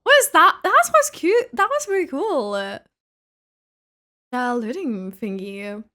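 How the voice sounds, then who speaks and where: A young adult woman casually reacting as she streams a game, giggly and expressive. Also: high voice, slow. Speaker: gaming streamer.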